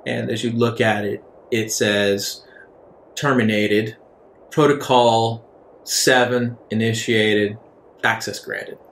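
A man running a tabletop role-playing game as game master, improvising narration in a halting, deliberate way with dramatic pauses. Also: lowish voice, fairly even intonation, creaky, fairly bright tone. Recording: roomy echo with some background noise.

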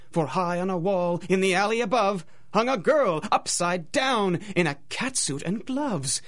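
A woman narrating a scripted rhymed adventure story, with a dramatic, singsong rhythm. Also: lowish voice.

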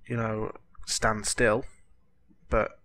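A man's voice: on the low side, level tone, very slow, fairly bright tone. A man with a cold and a cracking voice, rambling chattily with lots of fillers over game-tutorial footage. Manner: chatty, rambling, apologetic, lots of "you know". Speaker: gaming tutorial host.

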